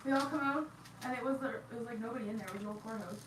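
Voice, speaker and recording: A woman chatting casually, rattling off a story in quick run-on bits. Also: fairly high pitch. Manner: rapid, run-on telling. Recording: far-off, echoey, very noisy.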